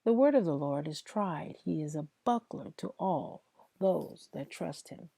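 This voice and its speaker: A woman reading Bible passages aloud in a devotional style, with deliberate, emphatic phrasing. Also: low-pitched, animated, vocal fry, dark tone, a little hiss or hum. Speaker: host reading scripture aloud. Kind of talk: slow devotional Bible reading aloud, with informal asides to listeners.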